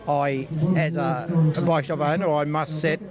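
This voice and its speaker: A man's voice: on the high side, little pitch movement, measured pace, a crowd in the background. An older man with an Australian accent giving a casual interview in a blunt, colloquial, emphatic way. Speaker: cyclist being interviewed. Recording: loud music behind, outdoors.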